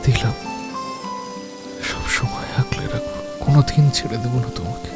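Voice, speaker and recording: A mid-pitched male voice, performed by an actor in a cartoon love story. He is a young romantic, soft and intimate, protective with a teasing streak. Music sits clearly behind him. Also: moderate pace, natural voice. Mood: reassuring. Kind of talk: animated romance drama voice acting.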